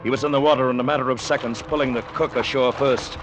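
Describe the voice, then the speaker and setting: A man playing a grave storyteller, narrating a 1950s radio drama in a solemn, dramatic, measured way. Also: medium pitch, fairly even intonation, close to an everyday voice. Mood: intense. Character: grave male storyteller of adventure and doom. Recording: dull vintage radio, music behind.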